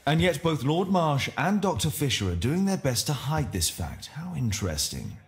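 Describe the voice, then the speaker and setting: A man acting a cool, wry Victorian English detective in a video game, deliberate in his delivery. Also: on the high side, big pitch swings. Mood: wry, intrigued.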